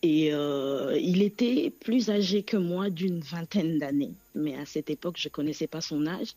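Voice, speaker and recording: Halting woman caller from Congo. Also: deep voice, dry recording. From Democratic Republic of the Congo. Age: 30s. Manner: halting, soft-spoken, pauses often with fillers. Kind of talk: personal testimony on a radio call-in.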